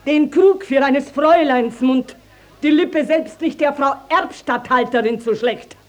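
High-voiced, indignant village matron. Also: female voice, measured pace. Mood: indignant, proud. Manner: emphatic, declamatory, dramatic storytelling. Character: indignant, garrulous village mother, proud and accusing. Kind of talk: classic stage-style radio drama acting.

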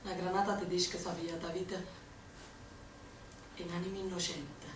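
A woman's voice: on the low side, flat delivery, brisk, noisy background, natural voice. An actress playing a young Neapolitan woman partisan in a stage monologue, with a Neapolitan accent and an impassioned, declaiming delivery. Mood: hushed, grieving. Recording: very echoey stage from far off.

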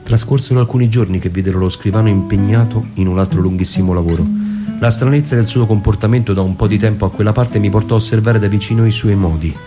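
A man performing a dramatic scripted narration in a theatrical, musing manner, with deliberate pauses. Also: fairly low pitch. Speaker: performer reading a literary narration. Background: plucked guitar music.

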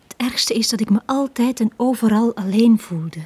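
Breathy, lonely young Flemish bar girl. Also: a little high, moderate pace. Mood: wistful, hurt. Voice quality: breathy, hushed. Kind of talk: 1980s Flemish radio drama acting.

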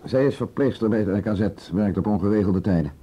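An actor with a Netherlands Dutch accent playing a stubborn, firm and curt police commissioner in a naturalistic radio play. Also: male voice, fairly low pitch, fast, dark tone, slightly rough. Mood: matter-of-fact. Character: stubborn, authoritative male police commissioner, short-tempered. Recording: muffled radio drama recording.

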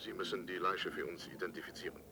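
An actor playing a polite police inspector in a German radio drama, formal and hesitant. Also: male voice, deep voice, steady tone, fast, a crowd in the background, lightly acted. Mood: sympathetic, halting. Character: polite, halting French police inspector on the phone.

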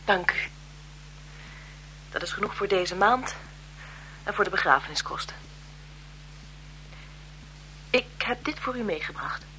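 An actress playing a frail-seeming, calculating blackmailer in a radio drama, deferential and insinuating in manner. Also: female voice, medium pitch, lively intonation, normal speed, bright, forceful tone, low-quality recording. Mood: sly, insinuating. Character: frail-seeming, calculating female blackmailer, photographer's bereaved sister. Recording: 1970s radio recording with noise.

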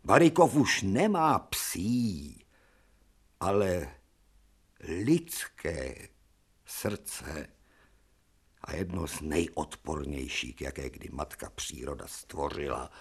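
An actor playing a stern older professor and surgeon in a radio play, lecturing and commanding. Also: male voice, rumbling low, lively intonation, pauses often, husky, exaggerated voice. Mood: grave.

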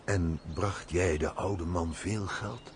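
A man's voice: low-pitched, slow pace, slight background noise. An actor playing a loyal, frank and compassionate male servant in a radio play. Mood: inquiring.

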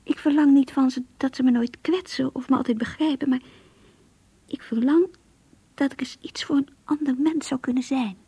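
Casting: lonely, wistful young woman for radio drama monologues; very husky, hushed, mellow. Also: high-pitched, fairly even intonation, moderate pace, no room sound, heavily acted. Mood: dejected, yearning. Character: lonely, melancholy young working woman confiding to her diary. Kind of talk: Dutch radio play monologue.

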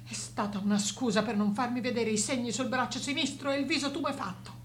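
Woman acting grieving older mother, unbelieving, pained dramatic radio play delivery, fairly high voice, faint noise. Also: steady tone, a little fast, close to an everyday voice. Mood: pained, indignant.

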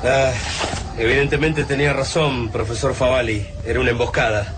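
A man's voice: medium pitch, fairly even intonation, a little fast, lightly acted. Weary, resigned army major voiced by a man, radio play, heavy noise behind, off-mic. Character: defeated army major, middle-aged man. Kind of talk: radio drama acting.

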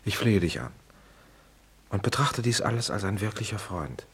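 Ein Mann spielt einen müden, verliebten Schriftsteller. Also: male voice, lowish voice, sing-song, slightly rough, heavily acted. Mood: pleading. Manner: slow, musing, then pleading. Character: weary famous writer, smitten man, Boris. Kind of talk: stage drama acting.

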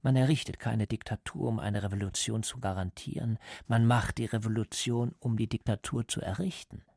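An actor playing a calm, cold party interrogator in a radio drama, speaking in a measured, lecturing way. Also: male voice, lowish voice, creaky, dry recording, clean studio sound. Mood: cold, emphatic. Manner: measured, didactic.

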